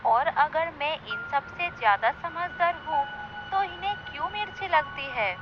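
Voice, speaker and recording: Woman acting a high-voiced cunning cartoon fox. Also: lively intonation, a little slow. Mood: smug. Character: cunning, conceited female fox, mocking and self-justifying. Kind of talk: animated moral story dialogue. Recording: dull lo-fi sound with clear noise.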